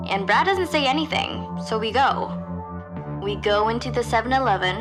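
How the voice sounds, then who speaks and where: A young woman playing a nervous high school girl who tells her story in a casual, hesitant way, as a confessional audio drama monologue. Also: natural voice.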